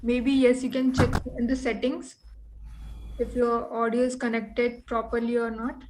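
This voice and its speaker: A woman's voice: fairly high pitch, flat delivery, unhurried, a little mellow. A woman with an Indian accent hosting a casual online meeting, heard over a noisy video call. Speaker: meeting host.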